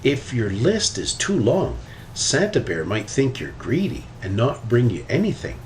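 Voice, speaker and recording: A matter-of-fact big brother bear cub from a picture book, acted out by a man. He sounds knowing and animated, over faint background noise. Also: mid-range voice, unhurried.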